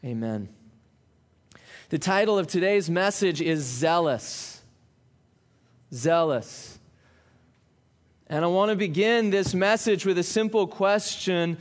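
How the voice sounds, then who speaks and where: A man preaching a sermon, reading scripture slowly and then talking in a conversational way with small asides to the congregation. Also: high-pitched. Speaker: pastor.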